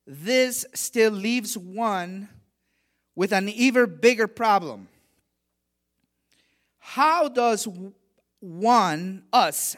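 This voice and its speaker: Man from honduras giving a sermon, slow and deliberate, kinda high voice, punches key words. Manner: measured preaching, rising emphasis on key phrases; drops to a quiet, conversational tone for personal stories. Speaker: guest preacher.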